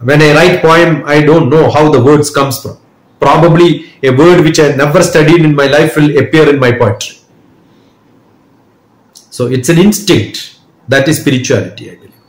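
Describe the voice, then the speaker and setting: A man answering interview questions at length, measured and reflective, with small restarts. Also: a little high. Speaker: guest, educator and speaker. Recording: roomy echo.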